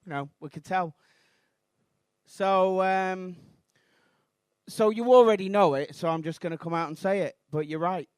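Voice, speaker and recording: A Mancunian man in his thirties doing a rambling, self-deprecating stand-up routine on a comedy club stage, bursting into shouted outrage. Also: high-pitched, measured pace, clear, resonant tone, dry recording. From Manchester, England.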